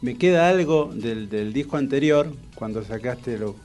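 A man's voice: medium pitch, lively intonation, unhurried, darkish tone, soft background music. A man with a Rioplatense Argentine accent interviewing casually, in an affirming, conversational way. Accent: Rioplatense Argentine Spanish. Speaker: radio interviewer.

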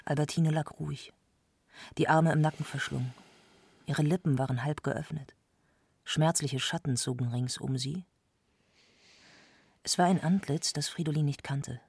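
An actor playing a brooding narrator in a literary radio drama, with a husky, creaky voice. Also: male voice, fairly high pitch, steady tone, normal speed, pauses often, darkish tone, no room sound. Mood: brooding, tense.

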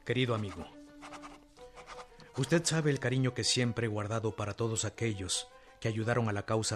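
An actor playing a courteous official who voices a formal letter in a radio drama, earnest and measured. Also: male voice, fairly low pitch, close to an everyday voice. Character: courteous, solemn official reading his formal letter aloud, adult man. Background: music.

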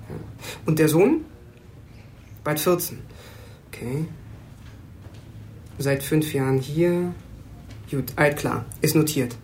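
A brisk, informal policeman in a radio crime drama. His slightly high voice is clipped and matey, with faint ambient noise. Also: a little mellow. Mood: businesslike, determined.

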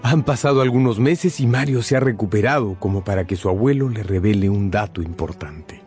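Male narrator, lowish voice, dramatic scripted storytelling, radio drama, prominent music behind. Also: fairly quick, a little mellow.

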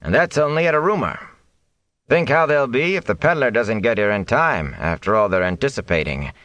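A man's voice: medium pitch, expressive, a little fast, a bit bright, no echo, voice pushed up, close to an everyday voice. A man voicing a shrewd, stout middle-aged innkeeper who is also the village mayor, hearty and wry.

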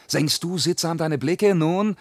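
An actor playing a reckless, boastful young peasant rogue, brashly declaiming in a radio verse drama. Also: male voice, a little high, measured pace, a little mellow. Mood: scornful, impatient.

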